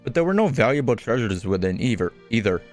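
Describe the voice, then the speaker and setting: A man's voice: mid-range voice, big pitch swings, fast, faint music behind. A man doing a game playthrough, reading the dialogue aloud and sometimes stumbling and correcting himself.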